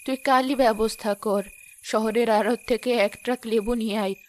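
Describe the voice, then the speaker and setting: A woman's voice: a little high, fairly even intonation, brisk, darkish tone, a little hiss or hum, natural voice. A woman voicing the firm, wise mother of the village leader in a cartoon, speaking in a commanding way. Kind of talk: cartoon voice acting.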